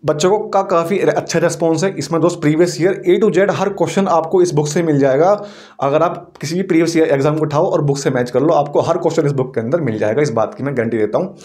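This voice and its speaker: Higher-pitched man, coaching teacher. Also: quick pace, a little mellow. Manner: explanatory, often checks in with the listener. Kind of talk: informal exam-preparation lecture.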